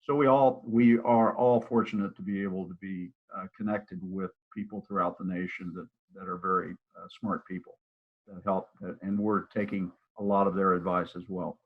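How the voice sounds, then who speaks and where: An older man with a low voice talks casually on a video call, rambling and restarting his sentences. The audio comes through thin and tinny, like a phone line. He sounds like a broker chatting about his plans and his office. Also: fairly even intonation, normal speed. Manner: rambling, with many restarts and fillers. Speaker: real estate broker and office manager. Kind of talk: casual interview over a live video call.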